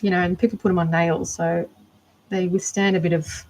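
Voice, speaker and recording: A woman's voice: lowish voice, flat delivery, a little fast, a little mellow, poor audio. A woman with an Australian accent chatting casually during a livestream, rambling and thinking aloud while she crafts. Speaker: art doll maker.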